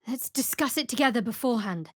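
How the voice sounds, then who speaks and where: A woman voicing a guarded, sardonic young cleric of a dark goddess in a fantasy video game, with a dry, wry, measured delivery. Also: a little high, animated, no room sound.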